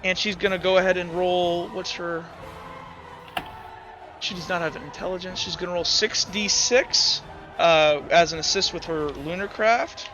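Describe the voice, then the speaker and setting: A man's voice: high-pitched, fairly even intonation, a little slow, lo-fi sound. A man acting as game master in a tabletop roleplaying game, talking casually and swearing freely. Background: background music.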